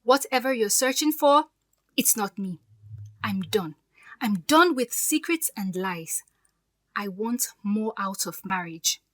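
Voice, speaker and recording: A woman's voice: medium pitch, lively intonation, takes it slowly, fairly bright tone. Woman playing a poised, cutting fiancée. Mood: hurt, resolute. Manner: pointed, sarcastic politeness. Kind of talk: audio drama acting.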